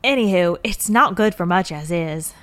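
A woman's voice: on the low side, lively intonation, normal speed, fairly bright tone. A woman acting in an audio drama as a folksy, wry, plain-spoken moonbase worker. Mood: wry, calm. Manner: folksy, drawling exclamations, wry.

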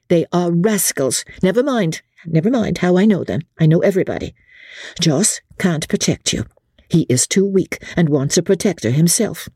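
A woman's voice: fairly low pitch, warm tone, breathy edge, dry recording, studio quality, pitched above the performer's own voice. A woman voicing a worldly, scheming young woman who scolds with contemptuous scorn.

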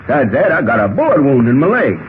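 An actor playing a folksy, talkative, excitable cowboy with an American Western drawl and colorful slang in a 1940s radio style. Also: male voice, medium pitch, quick pace. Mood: rambling, explanatory. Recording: tinny, noisy old radio recording.

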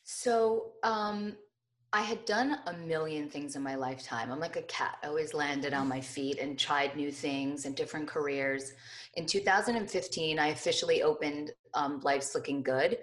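A middle-aged woman with a hoarse, notably low voice, talking freely as a guest on an interview podcast. She rambles expressively, with frequent fillers and restarts. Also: normal speed. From New Jersey, USA. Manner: chatty, expressive, many fillers. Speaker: guest, lifestyle blogger. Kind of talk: casual interview conversation.

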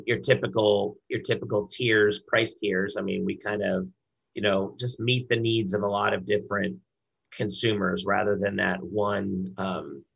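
A man with a low, husky voice answers as a guest in a business interview. His speech is conversational and a little halting, with repeated words, and carries an audible creak. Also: fairly bright tone, low-quality recording. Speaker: brand director, interview guest.

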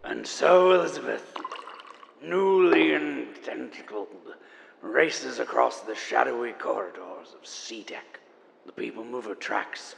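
A man's voice: fairly low pitch, vocal fry, fairly bright tone, long reverb tail, a little hiss or hum, heavily acted. A man playing a grave, portentous narrator of a sci-fi audio serial, reading in florid dramatic style.

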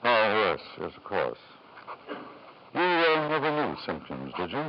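An actor playing a powerful middle-aged tycoon in a radio drama, with halting, smooth-talking insistence. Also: male voice, fairly low pitch, huge pitch swings, heavily acted. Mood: hesitant charm. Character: powerful, self-satisfied middle-aged British tycoon, hesitant in charm. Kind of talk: 1950s radio drama acting. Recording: dull, noisy 1950s radio recording.

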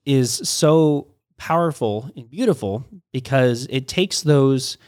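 A man's voice: medium pitch, lively intonation, a little mellow, clean tone, dry recording, studio quality. A middle-aged man, a pastor, talking through ideas in a casual teaching conversation, with frequent restarts and stammers. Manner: halting, many restarts and stammers.

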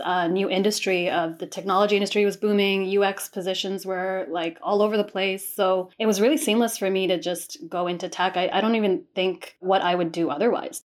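A woman from Dubai, a senior product designer, explaining her work thoughtfully in an interview. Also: medium pitch. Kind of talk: interview answers.

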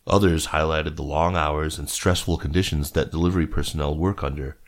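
Guy with a low deep voice reading out business news for a podcast, scripted narration. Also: steady tone, brisk, vocal fry. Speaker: news reader.

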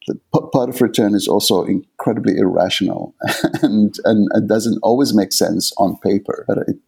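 A man's voice: lowish voice, vocal fry, dark tone, husky. A man in his fifties from the Middle East, a native Arabic speaker, talking thoughtfully as an author in an interview and often restarting to search for words. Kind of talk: reflective conversational interview.

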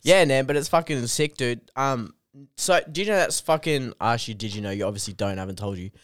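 A man's voice: mid-range voice, quick pace, dry recording. A man in his twenties with an Australian accent, a guest comedian chatting loosely, swearing and laughing as he tells stories. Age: 20s. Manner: swearing, laughing, animated storytelling. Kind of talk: casual drunk podcast banter.

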